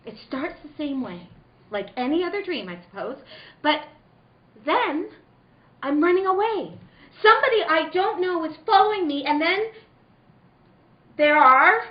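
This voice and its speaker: A woman's voice: very high-pitched, very animated, measured pace, pauses often, exaggerated voice. A woman playing an anxious, earnest wife troubled by nightmares in a comic old-time radio drama read. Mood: frightened. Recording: roomy, muffled, noisy live room recording.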